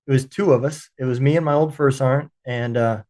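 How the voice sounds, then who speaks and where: A man's voice: mid-range voice, fairly even intonation, darkish tone. Brief: male podcast host, veteran, talks in stop-start bursts, ordinary mid voice. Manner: halting, frequent restarts and fillers. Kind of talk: casual interview chat.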